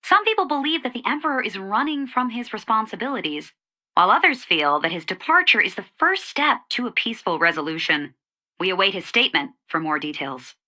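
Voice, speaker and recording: A brisk female news reporter for a game story. She has a bright, forceful tone and lively, crisp broadcast intonation. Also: mid-range voice. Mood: urgent, professional.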